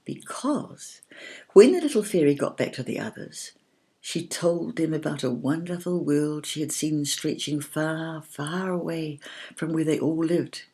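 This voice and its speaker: A woman voicing a kindly, patient female fairy who tells a story in a measured, lilting way. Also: deep voice, animated, mellow, breathy edge, heavily acted. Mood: storytelling.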